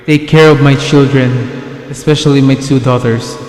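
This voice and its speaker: A man playing a proud, tormented king in a readers' theatre staging of Greek tragedy, declaiming deliberately. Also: medium pitch, fairly even intonation, natural voice. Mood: sorrowful, pleading. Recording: echoey hall reverb with music. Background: music.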